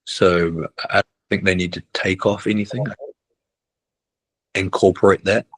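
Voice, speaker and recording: A man's voice: deep voice, steady tone, measured pace, fairly bright tone, slightly rough, dry recording. A middle-aged man, a basketball coach chatting casually in an interview, rambling with many restarts and tag questions. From New Zealand. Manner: rambling, restarts often, ends with "right?".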